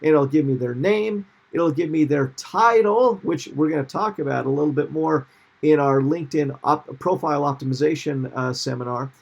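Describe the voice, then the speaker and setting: A man's voice: on the high side, normal speed, gravelly. A middle-aged man teaching a recorded seminar over slides, upbeat and often checking in with "okay?". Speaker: marketing instructor.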